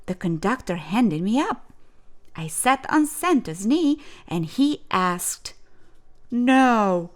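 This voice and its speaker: A woman reading a children's storybook aloud in an expressive, deliberate way with dramatic pauses. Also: mid-range voice. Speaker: storyteller, host of a read-aloud show.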